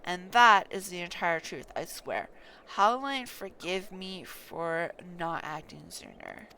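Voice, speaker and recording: A woman's voice: fairly low pitch, huge pitch swings, vocal fry, pushed and bright, a little hiss or hum, exaggerated voice, poor audio. A woman voicing an anguished knight in a fantasy game, confessing a guilty secret earnestly and solemnly. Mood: remorseful, earnest. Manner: earnest, solemn, halting over names. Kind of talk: dramatic reading of fantasy game dialogue.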